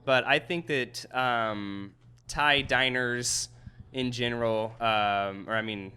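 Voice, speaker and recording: A man's voice: mid-range voice, slow pace, pushed and bright, smooth. A man in his thirties, a chef with a Midwestern American accent, answering interview questions easily, with many ums and frequent laughs. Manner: easygoing, laughs often, hesitant fillers. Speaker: chef and restaurant owner.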